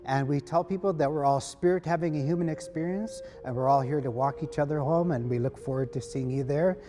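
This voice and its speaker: A man's voice: a little high, brisk, noisy background. A man, speaking as an artist and organizer promoting an event. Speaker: artist and art festival organizer. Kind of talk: promotional interview talk to camera. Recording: reverberant hall, music bed underneath. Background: music.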